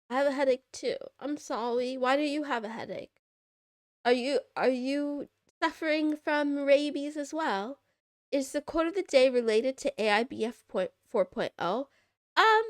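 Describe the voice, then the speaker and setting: A woman's voice: on the high side, no echo, studio quality. A young woman streaming and chatting with viewers, playful and giggly with cat puns, her voice stuffy from congestion. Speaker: VTuber streamer. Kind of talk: casual livestream chat reading viewer messages.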